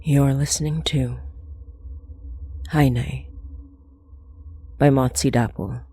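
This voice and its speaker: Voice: medium pitch, steady tone, takes it slowly, dark tone, a little hiss or hum. A narrator reading a scripted short story in a literary storytelling style. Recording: muffled lo-fi sound with music. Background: music.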